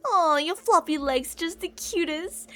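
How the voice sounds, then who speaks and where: A woman's voice: extremely high pitch, measured pace, bright, forceful tone, exaggerated voice. A woman playing an obsessive older female kidnapper in an ASMR roleplay, cooing and possessive, doting yet menacing, with theatrical delivery. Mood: menacing, teasing. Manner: cooing, possessive, theatrical, with pet names and whispered phrases.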